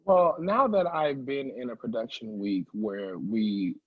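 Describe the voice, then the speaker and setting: A man's voice: medium pitch, measured pace, slightly rough, no echo, phone-quality sound. A man who is an opera singer talking casually as an interview guest, emphatic and chatty, often checking in with the listener. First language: English.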